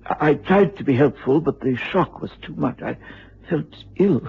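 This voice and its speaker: Husky, stammering, deferential manservant. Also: male voice, a little high, lively intonation, exaggerated voice. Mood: shaken, apologetic. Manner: halting, stammering, servile. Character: apologetic, deferential male manservant, shaken and nervous. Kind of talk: radio drama acting. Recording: muffled radio drama recording.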